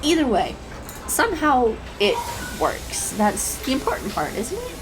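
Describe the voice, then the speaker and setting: A woman's voice: high-pitched, huge pitch swings, measured pace, over music, exaggerated voice, rough recording. A woman playing a shy, anxious, adorkable young woman in an intimate roleplay audio, rambling hesitantly and stammering. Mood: nervous, chatty. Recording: clear background coffee-shop ambience noise. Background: coffee shop ambience sound effects.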